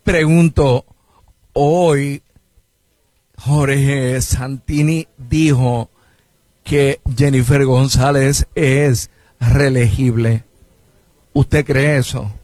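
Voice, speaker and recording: A male interviewer, asking questions in a deliberate way. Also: medium pitch, steady tone, a little mellow, no room sound.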